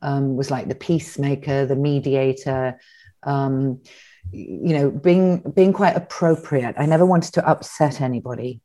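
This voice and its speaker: A woman speaks as an interview guest. Her voice sits low and sounds warm and mellow. She pauses often, restarts her sentences and fills gaps with ums as she thinks back. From England. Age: 60s. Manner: reflective, with many restarts and ums. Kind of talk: conversational interview.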